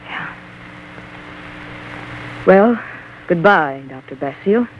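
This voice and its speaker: A woman's voice: lowish voice, animated, takes it slowly. A jilted young woman resolved to kill, in 1940s radio suspense style. Her voice comes through a dull, muffled old recording with faint noise behind it. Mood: tense resolve. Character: determined jilted young woman set on murder.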